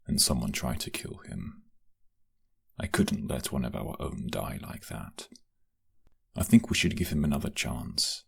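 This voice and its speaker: A man's voice: very deep voice, creaky, a little mellow, slightly rough, heavily acted. A man playing a loyal guard in a crime syndicate for an audio drama, speaking earnestly and with formal deference. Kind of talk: audio drama roleplay acting.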